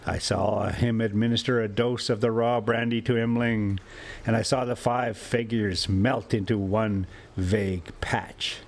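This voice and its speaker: A man hosting a story time, reading classic prose aloud in a measured, deliberate way. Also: lowish voice, fairly even intonation, gravelly. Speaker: host and story reader. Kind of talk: audiobook-style story narration with casual asides.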